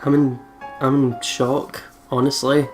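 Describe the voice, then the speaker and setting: A woman's voice: rumbling low, little pitch movement, takes it slowly, darkish tone, faint music behind. A young woman hosting a let's play, chatting casually and expressively as she comments on the game, with plenty of swearing.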